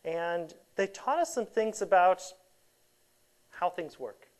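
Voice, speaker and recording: A woman with a somewhat low voice speaks in a steady, conversational way. She tells stories at an easy pace. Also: slow, poor audio. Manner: storytelling, animated when quoting. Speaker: missionary. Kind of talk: casual chat.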